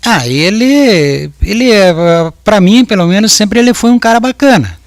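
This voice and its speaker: A man in his fifties testifies as a witness in court. His voice sits a little high for a man. He talks hesitantly and often restarts or repeats words. The sound is very dry and close. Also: expressive, moderate pace, no room sound. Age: 50s. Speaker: witness at a jury trial.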